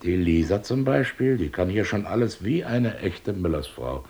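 A shrewd, coaxing village miller. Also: male voice, low-pitched, lively intonation, moderate pace, darkish tone. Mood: persuasive. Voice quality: coaxing, sly. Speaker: rich miller in a radio play. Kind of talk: radio drama acting.

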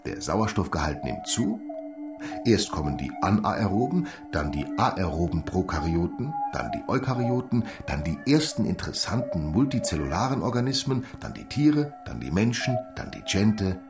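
A man playing a learned, patient and pedantic teacher in a German radio drama, lecturing with deliberate, professorial emphasis. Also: deep voice, very animated, over music. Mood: earnest lecturing.

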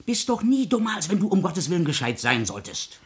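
A man's voice: high voice, big pitch swings, a little fast. An actor playing a blunt, hot-tempered but loving older musician father in a classic radio drama, with an earthy, exclamatory delivery. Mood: angry, blustering. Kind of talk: classic German stage drama radio acting.

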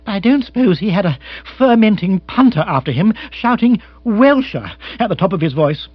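A man performs a young upper-class lord in a comic storybook, all nerves and petulance. His voice is pitched up and swings about excitedly, full of flustered exclamations and posh slang. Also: moderate pace, dry recording, highs cut off. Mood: indignant, flustered. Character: agitated, petulant young English lord in a panic.

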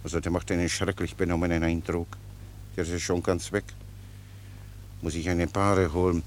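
An actor in a German radio play, playing a cheerful, long-winded common soldier who explains things pedantically with naive obedience. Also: male voice, deep voice, level tone, moderate pace, a little hiss or hum, close to an everyday voice. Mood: chatty, unruffled. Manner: chatty, rambling, pedantically explanatory.

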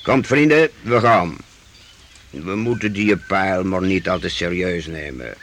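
A man playing a calm, wise master who guides his young companions in a radio play, explaining things in a measured, reassuring way. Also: low voice, slight background noise, exaggerated voice. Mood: thoughtful, reassuring.

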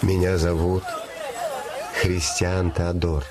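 An actor playing an earnest, dreamy young scholar in love, with halting, theatrical delivery in a radio drama. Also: male voice, sits low, little pitch movement, a loud crowd. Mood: tender, earnest. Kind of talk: stage-like radio drama acting.

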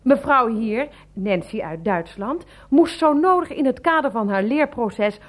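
Exasperated wife, high voice, swooping intonation, sarcastic, biting, radio drama. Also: female voice, animated, unhurried. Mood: indignant, mocking. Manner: biting, sardonic. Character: sarcastic, exasperated wife exposing her husband. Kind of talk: radio play dialogue.

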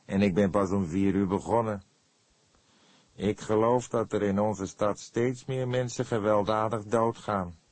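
A man voicing an eccentric old coroner in an audiobook, creaky and calm, morbidly matter-of-fact. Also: on the low side, unhurried, no echo, pitched above the performer's own voice, natural voice. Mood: sedate.

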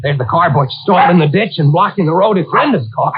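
An actor playing an anxious, protective young brother in a 1940s radio thriller, urgent and exclamatory, with slangy speech. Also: male voice, on the high side, animated, fast, breathy edge, lo-fi sound. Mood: frantic, urgent. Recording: noisy band-limited vintage radio recording.

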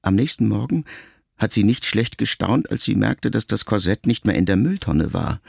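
An actor playing a middle-aged writer son who addresses his mother in a measured, introspective, quietly reproachful radio monologue. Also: medium pitch, vocal fry. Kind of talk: literary monologue in a radio play.

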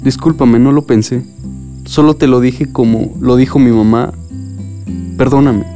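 A man's voice: medium pitch, steady tone, measured pace, dark tone, faint music behind, lightly acted. An actor playing a remorseful young man in love in a radio drama. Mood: tender, earnest. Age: young adult.

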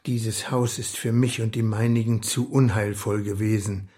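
An actor playing a humble, dignified working-class uncle in a radio drama, speaking in a grave, earnest, deliberate way. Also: male voice, lowish voice, flat delivery, dark tone, natural voice. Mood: wounded, bitter.

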